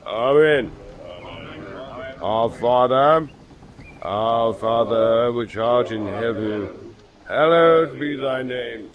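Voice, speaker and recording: A man acting a stubborn, unflappable British naval commander in a radio drama, with a measured, formal, commanding British delivery. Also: mid-range voice, phone-quality sound. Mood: solemn, ceremonial.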